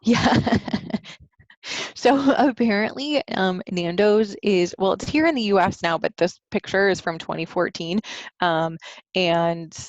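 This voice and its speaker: A woman's voice: medium pitch, measured pace, dry recording, lo-fi sound. A woman talking informally and hesitantly through travel photos as a troop trip leader, in a casual, conversational way.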